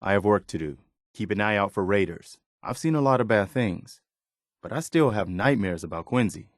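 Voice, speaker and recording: An actor playing an earnest, steadfast but weary militia officer in a video game, sincere and plainspoken. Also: male voice, lowish voice, expressive, moderate pace, dry recording, studio quality, natural voice. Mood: earnest, steady. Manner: sincere, plainspoken, morally upright. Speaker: game companion character.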